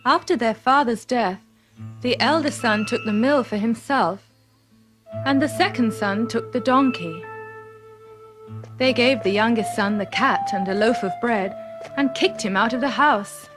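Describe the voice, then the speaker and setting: High-voiced woman narrating fairy tales. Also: measured pace, over music. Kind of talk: scripted children's fairy-tale narration.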